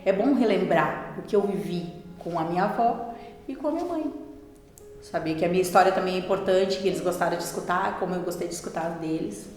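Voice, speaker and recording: A woman's voice: fairly low pitch, fairly quick, darkish tone. Adult woman, informal interviewee, reverberant hall, audible musical bed. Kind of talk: casual interview. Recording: very echoey hall, clear music underneath.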